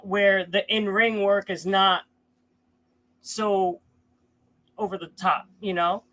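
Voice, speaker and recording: A man's voice: sits high, fairly even intonation, takes it slowly, pushed and bright. A man with a nasal voice talking as a guest on a casual wrestling panel.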